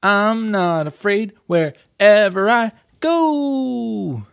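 A brave little tiger cub from a children's storybook, acted out by a man. The voice climbs high in a slow, simple sing-song. It is very close and dry. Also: animated, vocal fry, no room sound, full character voice.

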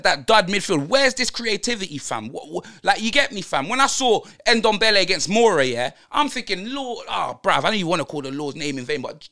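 Man putting on a London roadman football fan, high bright voice, ranting, slang every line. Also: full character voice. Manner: ranting, slang-heavy, tags every line with blood and fam. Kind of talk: comedic football rant.

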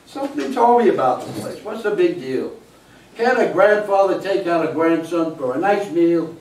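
Heard from across an echoey theater, a stubborn old grandfather played by an older man argues drily in a high-pitched voice. He is a thrifty immigrant in a comic stage play. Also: animated, normal speed, a little mellow. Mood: argumentative. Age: older, seventies or over. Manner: dry, argumentative. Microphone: distant camera microphone in the audience. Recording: distant, echoey stage recording with noise.

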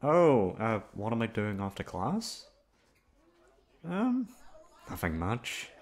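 A young man playing a shy, easygoing college classmate in an ASMR roleplay, talking casually in a hesitant, halting way. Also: fairly low pitch, wildly swooping pitch, some chatter behind, heavily acted. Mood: flustered, hesitant. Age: young adult. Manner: hesitant, halting, awkward chuckles. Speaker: voice actor. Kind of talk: ASMR roleplay audio drama, casual conversational.

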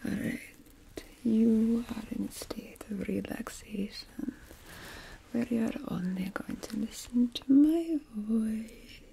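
Bossy vampire ghoul played by a woman, coaxing, drawn-out whisper, dark and creaky, for hypnotic ASMR. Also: a little high, a little hiss or hum, exaggerated voice, low-quality recording. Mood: bossy, hushed. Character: wheedling, bossy bald Nosferatu-like vampire ghoul. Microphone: close microphone.

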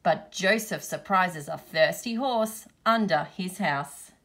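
A woman reading a children's picture book aloud in measured, deliberate phrases. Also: fairly low pitch, fairly bright tone. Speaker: storybook reader, teacher.

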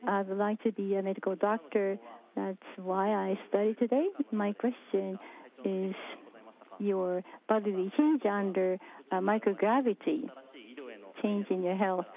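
A woman interpreting on the fly, her phrasing measured and broken by the odd restart. Her pitch sits mid-range for a woman. The sound is thin and tinny, like a phone line. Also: steady tone. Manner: halting, with restarts and fillers. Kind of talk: simultaneous interpretation. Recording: phone-like narrow-band feed.

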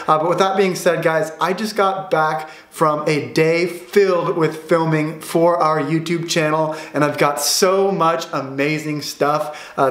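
A man's voice: on the high side, a bit bright. A young American man hosting a casual vlog Q&A from a small room at home, upbeat and chatty, gesturing as he talks. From United States. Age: young adult. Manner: upbeat, chatty, gestures a lot with his hands. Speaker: vlogger. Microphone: camera in front, head and shoulders framing. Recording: roomy echo.

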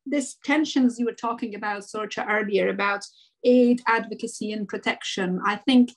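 A woman's voice: mid-range voice, moderate pace, rough recording. A woman in her forties from Afghanistan, speaking earnestly as a guest in an online panel, with frequent fillers and restarts, over a video call from her home. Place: home room with bookshelves.

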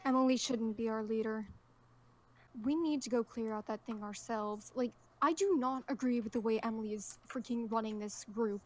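A woman's voice: a little high, normal speed, lightly acted. A woman playing a stubborn, scornful female survivor in an improvised roleplay, speaking assertively and sarcastically.

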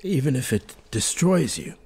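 An actor playing an exasperated, sharp-tongued man in a naturalistic radio drama, blunt and scolding. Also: medium pitch, sing-song, normal speed, breathy edge. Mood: challenging, concerned. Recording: faint ambient background noise.

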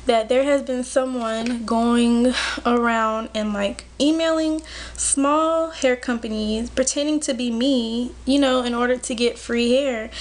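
A woman in her twenties chatting casually to her viewers while she does her makeup, full of "like" and "you know". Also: a little high. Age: 20s. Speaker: beauty vlogger. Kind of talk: casual chit-chat while doing makeup. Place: bedroom at home. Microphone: built-in camera mic at close range.

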